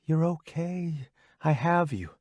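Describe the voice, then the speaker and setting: A man voicing a gentle young woman who softly comforts her partner in a hushed voice. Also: fairly high pitch, expressive, slow pace, dry recording, lo-fi sound. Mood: soothing.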